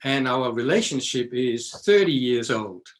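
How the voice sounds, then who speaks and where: Older man recounting calmly. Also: medium pitch, a little slow. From Denmark. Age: 60s. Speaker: interview guest. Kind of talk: storytelling in a podcast interview.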